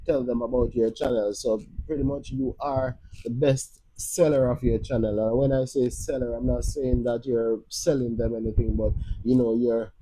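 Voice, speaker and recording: Man, Jamaican accent, live-stream host, rambling casual talk, very dark tone, husky. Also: medium pitch, steady tone, normal speed, few pauses, poor audio. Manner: rambling, many restarts and fillers. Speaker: livestream host.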